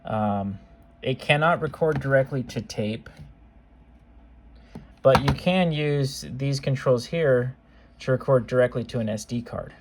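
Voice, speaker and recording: A man's voice: mid-range voice, unhurried, long pauses, slight background noise. A man giving a casual product demonstration as a seller, talking in an informal, offhand, conversational way. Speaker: seller demonstrating modified cassette players.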